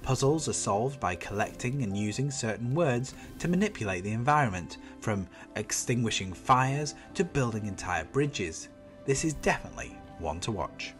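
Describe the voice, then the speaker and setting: Man, stuffy nose from a cold, mid-pitched voice, very animated intonation, upbeat scripted narration, faint music underneath. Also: normal speed. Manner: enthusiastic, upbeat review delivery. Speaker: games channel host. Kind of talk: scripted voice-over game roundup.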